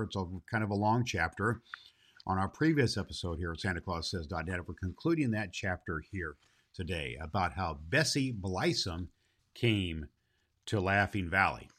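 Male performer as grandfatherly Christmas gift-bringer, jolly and hearty; forceful bright voice, swooping intonation, deliberate storyteller phrasing for kids. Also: on the low side. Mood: storytelling. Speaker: host of a children's Christmas story show. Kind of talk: hearty in-character greeting and storytelling for children.

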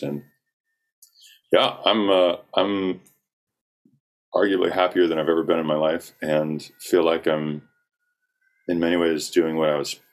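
A young man from South Carolina, a singer-songwriter, chatting in an interview, relaxed and rambling with lots of ums and likes. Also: deep voice. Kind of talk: casual interview talk.

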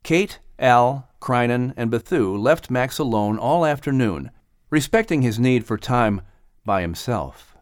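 A man narrating a children's audiobook in an expressive, scripted storytelling style. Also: medium pitch, a little slow, clear, resonant tone, no room sound, studio quality.

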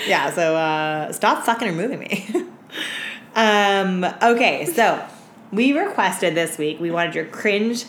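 Giggly woman with swooping pitch, roomy sound. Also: sing-song, slow, a bit bright. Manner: crude, giggly, heavy slang and swearing. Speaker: podcast co-host. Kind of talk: unfiltered comic chat and anecdotes. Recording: roomy echo with background noise.

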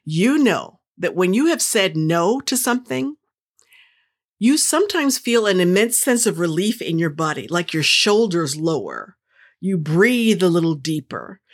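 Energetic female host with a somewhat low voice, giving an upbeat motivational monologue straight to the listener. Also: unhurried, husky. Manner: upbeat, enthusiastic, pep-talk delivery; direct, playful, speaks straight to the listener. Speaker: podcast host.

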